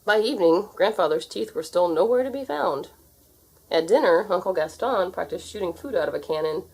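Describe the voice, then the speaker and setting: A woman reads a children's storybook aloud in an expressive, theatrical narrating style. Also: on the low side, fairly quick, breathy edge, poor audio. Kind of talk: animated read-aloud narration.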